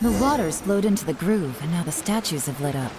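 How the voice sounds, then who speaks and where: Actress as low-voiced, composed adventuring woman. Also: expressive, fairly quick, lightly acted. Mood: calm, brisk. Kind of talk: video game voice acting. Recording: noisy game ambience behind voice.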